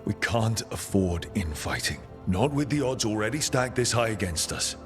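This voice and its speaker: Resolute fantasy game hero, lowish voice, commanding and measured, music behind. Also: male voice, very animated. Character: steady, resolute male hero leading a team. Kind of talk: video game voice acting.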